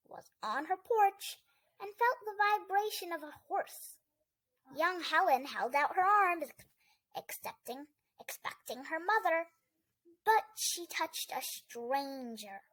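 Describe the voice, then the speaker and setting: A child reading a children's book aloud in a halting way, sometimes stumbling and correcting the words. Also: very high-pitched, a bit bright, rough recording. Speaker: host of a children's read-aloud channel.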